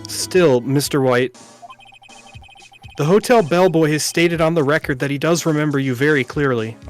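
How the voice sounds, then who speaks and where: A fairly high male voice with music underneath , an amateur reading the part. He is a young game-world defense lawyer, earnest and polite, questioning in a plain, slightly hesitant way. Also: normal speed, close to an everyday voice. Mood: probing. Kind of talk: video game dialogue read aloud by amateur.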